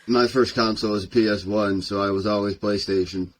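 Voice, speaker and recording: A man's voice: on the low side, fairly even intonation, a little fast, low-quality recording. A young man co-hosting a casual podcast, chatting and teasing his co-host. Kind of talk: casual chat.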